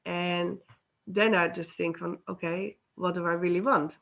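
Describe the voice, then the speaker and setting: A woman speaks slowly and haltingly, reaching for English words with many pauses. Her voice sits a little low for a woman. She talks casually over a video call. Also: steady tone, vocal fry, a bit bright. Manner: hesitant, searching for words, laughs often. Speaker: co-host, healer. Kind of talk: casual chat.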